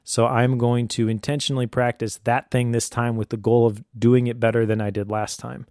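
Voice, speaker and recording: A man's voice: mid-range voice, flat delivery, normal speed, darkish tone, dry recording, studio quality. A middle-aged man speaking casually and thoughtfully, explaining advice as a parent. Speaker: homeschooling parent giving advice.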